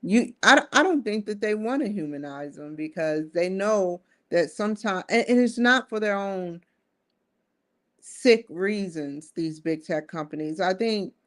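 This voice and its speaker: A woman in her forties hosting a live-streamed solo talk show, rambling earnestly with frequent restarts. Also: fairly low pitch. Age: 40s. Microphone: streaming setup.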